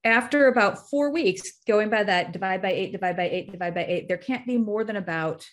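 Explanatory woman with a medium-pitched voice. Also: brisk. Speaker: podcast guest. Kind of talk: analytical casual discussion.

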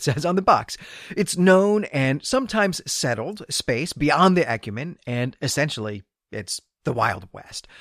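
Male podcast host, mid-pitched, conversational and bookish, wry asides, close and dry recording. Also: fairly bright tone, no room sound. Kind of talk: solo book discussion podcast, semi-scripted.

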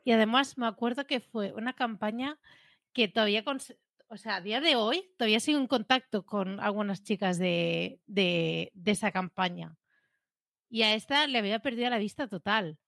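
A young woman from Spain, co-hosting a podcast, telling a story in lively casual chat with many restarts. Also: medium pitch, normal speed, vocal fry, no echo, studio quality. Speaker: podcast co-host.

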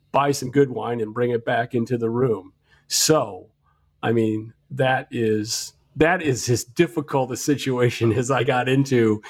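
Gravelly man rambling on a podcast. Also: medium pitch. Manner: rambling, frequent restarts and fillers. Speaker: podcast co-host. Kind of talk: casual podcast chat. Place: at home.

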